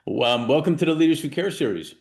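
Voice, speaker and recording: A man's voice: a little high, lively intonation, fast talker, vocal fry, darkish tone. A man hosting a casual interview series, chatty and jokey, laughing often between questions. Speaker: interview host.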